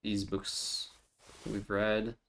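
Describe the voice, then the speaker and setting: A man's voice: lowish voice, monotone, extremely slow, vocal fry, fairly bright tone, a little hiss or hum. A streamer whose first language is French, chatting casually and laughing easily while he plays. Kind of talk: casual gameplay chat.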